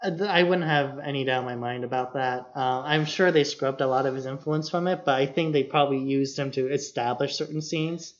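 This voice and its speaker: A man's voice: mid-range voice, brisk, few pauses, lo-fi sound, slight background noise. A man co-hosting a podcast in casual film discussion, very informal and peppering his talk with "like". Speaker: podcast co-host.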